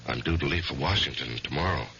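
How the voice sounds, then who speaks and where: An actor playing a troubled, ambitious lawyer in his forties, measured and hesitant, in an old-time radio mystery. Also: very deep voice, pushed and bright, a little hiss or hum. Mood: subdued, hesitant. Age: 40s. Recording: dull vintage radio recording.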